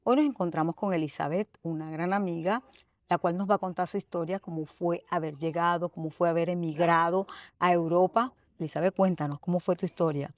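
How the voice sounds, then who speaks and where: A woman's voice: fairly low pitch, steady tone, brisk, dry recording. A woman hosting a conversational interview, prompting her guest and affirming what she hears. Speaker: interview host.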